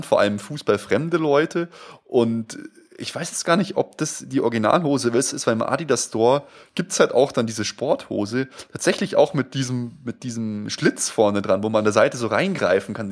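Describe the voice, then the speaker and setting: A man's voice: mid-range voice, normal speed. A young man from Munich with a light Bavarian accent, co-hosting a casual football podcast, talking with enthusiasm and many restarts. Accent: light Bavarian German. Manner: enthusiastic, chatty, frequent restarts and fillers. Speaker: podcast co-host.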